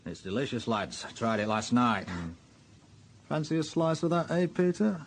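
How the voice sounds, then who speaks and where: A man's voice: mid-range voice, very animated, normal speed, slight background noise. An actor playing a bluff, vulgar middle-aged senior policeman, blunt, jovial and needling, in a radio drama. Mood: boisterous, teasing. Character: bluff, vulgar senior policeman, big, boisterous, mocking boss.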